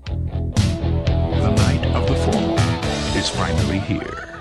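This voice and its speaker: Menacing American horror trailer announcer, gravelly, dragging pace, loud score underneath. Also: male voice, low voice, huge pitch swings, exaggerated voice. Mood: ominous. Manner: slow, menacing, dramatic. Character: ominous male horror film trailer narrator. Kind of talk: 1980s horror trailer voice-over. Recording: loud music behind trailer audio.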